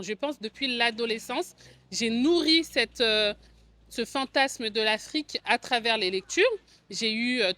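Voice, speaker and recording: A woman in her forties hosts a personal vlog in a French accent from France. Her voice sits a little high and has a clear, forward brightness. She talks in a conversational way, explaining her own experience to viewers. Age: 40s. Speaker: video channel host, agency co-founder. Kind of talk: personal vlog storytelling to camera.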